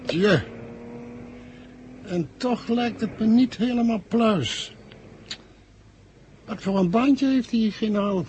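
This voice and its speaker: An actor playing a doubtful, hesitant junior patrolman in a radio play, with a very husky voice. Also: high-pitched, slow, long pauses, darkish tone, slight background noise. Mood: suspicious, hesitant. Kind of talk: 1970 radio play acting.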